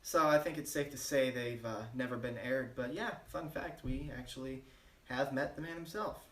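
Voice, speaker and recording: Man, mid-pitched, deadpan, rambling, echoey room, mic at a distance. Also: moderate pace. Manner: deadpan, rambling with many fillers. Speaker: channel co-host. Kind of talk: mock-serious joke interview.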